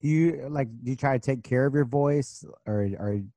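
A man hosting a podcast asks casual questions, pausing and filling gaps as he goes. His tone is mellow and relaxed, and the sound is dry and close. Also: medium pitch, no echo. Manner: halting, filler-heavy questions. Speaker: podcast host.

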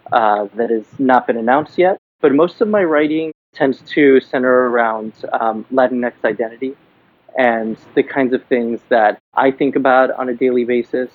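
A man's voice: mid-range voice, normal speed. Man on a thin phone-like line. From Miami, Florida. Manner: thoughtful, many fillers and restarts. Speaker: guest, comic book writer. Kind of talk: podcast interview. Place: building basement. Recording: dry, phone-like remote line.

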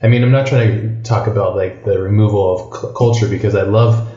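A man's voice: on the low side, steady tone, brisk, warm tone, echoey room, dull audio. A man from Hawaii hosting a podcast, talking loosely in casual chat with many fillers and restarts. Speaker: podcast host.

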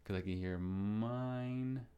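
A man's voice: fairly low pitch, takes it slowly, clean tone, highs cut off. A young man co-hosting a podcast, chatting casually, swearing offhandedly and acting out his own thoughts. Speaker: podcast co-host. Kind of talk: casual chat.

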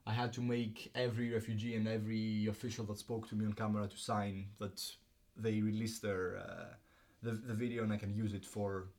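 A man's voice: on the low side, little pitch movement, fairly quick, few pauses, darkish tone. A young man from Greece, a filmmaker and student guest, talking casually with many ums and restarts. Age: young adult. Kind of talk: casual interview.

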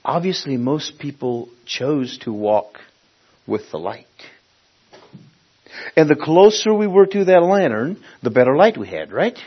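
Husky-voiced male preacher from Honduras. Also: a little high, big pitch swings. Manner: conversational, halting, searching for words. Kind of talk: sermon with personal storytelling. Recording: dull, muffled lo-fi recording.

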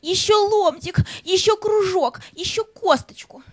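An actress playing a greedy, pleading pet dog in a fairy-tale audio play, muttering as it begs. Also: female voice, extremely high pitch, a little slow, no room sound, clean studio sound.

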